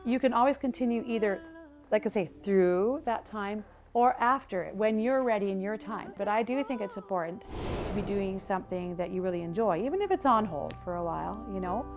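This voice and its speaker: A woman's voice: medium pitch, brisk, faint music behind. A woman from Argentina, a singer and mother, speaking in an interview in an earnest, reflective way with some restarts.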